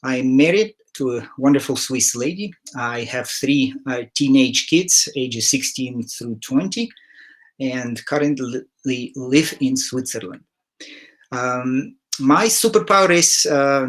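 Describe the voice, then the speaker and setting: Halting headset-mic man hosting a session. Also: mid-range voice. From Ukraine. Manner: halting, many filler sounds, occasional throat clearing. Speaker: interview host. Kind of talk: moderating an online session. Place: home room, indoors. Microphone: headset mic on a video call.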